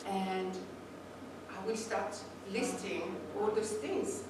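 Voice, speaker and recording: A woman's voice: mid-range voice, fairly even intonation, takes it slowly. A woman whose first language is not English, giving a reflective spiritual talk and reading passages aloud, with a few restarts. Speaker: spiritual teacher. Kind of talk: sermon with read passages. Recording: echoey room from far off, faint noise.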